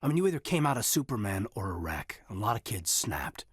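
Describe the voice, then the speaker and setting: An actor playing an earnest, reserved military officer in his thirties in a sci-fi video game, speaking in a measured, hesitant, husky voice. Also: lowish voice, very animated, no room sound, clean studio sound. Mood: somber, reflective. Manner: hesitant, measured, sincere, deferential. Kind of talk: video game character dialogue.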